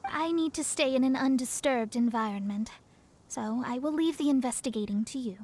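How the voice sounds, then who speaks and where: A woman's voice: sits high, moderate pace, darkish tone. A woman voicing a proud, self-assured young astrologer in a video game, speaking articulately and a little haughtily. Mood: businesslike. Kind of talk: video game character acting.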